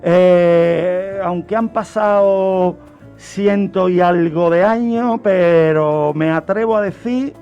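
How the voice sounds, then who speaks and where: A man's voice: high voice, slow, darkish tone, highs cut off, soft background music. A man giving didactic, emphatic explanations in a radio discussion. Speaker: criminology commentator on a radio show.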